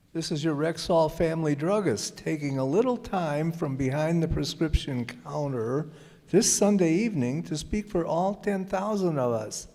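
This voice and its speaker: A man's voice: on the high side, mellow, close to an everyday voice. A man playing an earnest, reassuring neighborhood druggist reading a 1940s-style radio commercial. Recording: reverberant hall.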